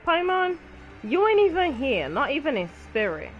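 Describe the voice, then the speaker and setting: A woman's voice: high-pitched, sing-song, normal speed, highs cut off, faint music behind. A woman streaming a video game, commenting casually in slang and exclaiming as she reacts aloud. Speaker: gaming streamer.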